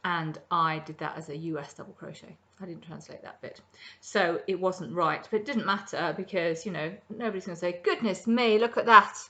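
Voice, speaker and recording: A woman's voice: lowish voice, moderate pace, hardly any pauses, sharp tone. A middle-aged woman hosting a crafting podcast from her kitchen, chatting casually about her projects with plenty of ums. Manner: chatty and rambling, many ums. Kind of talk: casual show-and-tell chat. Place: home kitchen, indoors.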